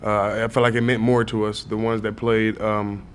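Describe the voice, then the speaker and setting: Low, even-toned young man over noise. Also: normal speed. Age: young adult. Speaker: college football player, interview guest. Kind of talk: casual interview answers. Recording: noticeable background noise.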